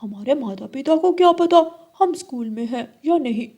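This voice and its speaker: Woman doing a cheeky, high-pitched young boy crow. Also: expressive, moderate pace, dark tone. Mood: scheming. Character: young schoolboy crow, cheeky scheming truant.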